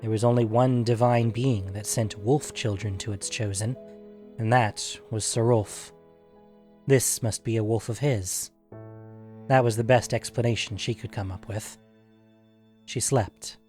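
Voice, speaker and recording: A man narrating a scripted fantasy story in a measured, deliberate, dramatic way. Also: fairly low pitch, fairly even intonation, darkish tone, soft background music. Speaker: narrator and host of an audio fiction show.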